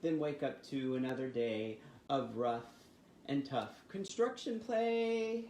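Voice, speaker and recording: Male children's storytime host, mid-pitched, expressive and sing-song, recorded in an echoey room. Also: slow pace. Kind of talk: reading picture books and leading finger rhymes. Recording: roomy echo with faint background noise.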